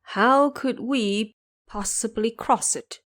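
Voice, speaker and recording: Fearful emperor, played by a woman. Audio drama, anxious, formal, questioning, unhurried. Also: a little high. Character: fearful male emperor lost in the underworld.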